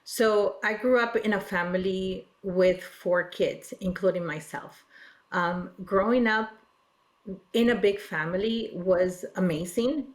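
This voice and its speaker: Slow-paced, reflective woman from Peru. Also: fairly low pitch. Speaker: interview guest, regional director. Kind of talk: personal storytelling in an interview.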